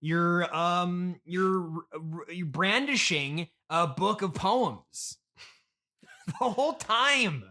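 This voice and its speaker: Comedy podcast male actor as rich man's bratty son: sharp, bright, raised voice, whiny and mocking. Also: high-pitched, lively intonation, drawn-out words, exaggerated voice. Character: sulky, mocking schoolboy son of a trillionaire. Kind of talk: improvised comedy interview.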